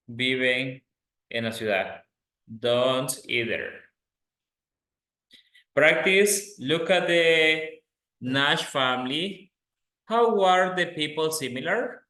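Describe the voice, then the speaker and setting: Slow man teaching English. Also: fairly high pitch, sharp tone. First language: Spanish. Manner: deliberate, instructive, repeats phrases. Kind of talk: grammar lesson, reading examples aloud. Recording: echoey, roomy sound.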